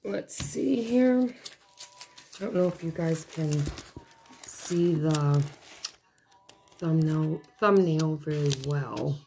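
A woman with a hoarse, failing voice chatting casually at her dining table as she unboxes craft kits, rambling and breaking off mid-thought. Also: deep voice, slow, long pauses, warm tone, a little hiss or hum. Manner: rambling, chatty, self-interrupting. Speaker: craft vlogger. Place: home dining room, seated at a table.

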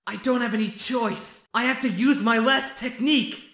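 A man playing a naive, good-natured hero dad in a cartoon parody, high and husky, earnest and flustered. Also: moderate pace, pushed and bright, heavily acted. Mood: urgent. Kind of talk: comedic anime parody voice acting.

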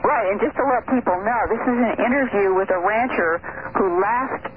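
A middle-aged woman speaking as a guest on a radio interview, telling her findings in an earnest, measured, detailed way over the phone. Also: medium pitch, background noise. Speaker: guest investigator. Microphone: phone call into radio show. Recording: tinny phone line on old radio broadcast.

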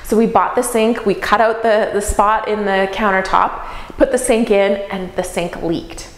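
A woman's voice: medium pitch, fairly even intonation, moderate pace. Upbeat woman in her 30s vlogging from an empty bedroom, voice bouncing off bare walls. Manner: upbeat, chatty, practical. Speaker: real estate investor vlogger. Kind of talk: casual talk to camera. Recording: echoey empty room.

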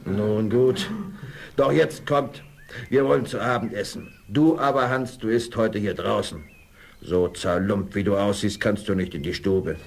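An actor playing a gruff, blustering older master miller in a fairy-tale audio play. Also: male voice, fairly low pitch, measured pace, a little hiss or hum. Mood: gruff, scornful. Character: gruff older master miller, haughty yet servile to nobility.

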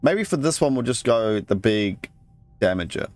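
A male let's play host gives casual gameplay commentary. He thinks aloud and reads out game text in a medium-pitched voice. Light game music sits behind him. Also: very animated, moderate pace.

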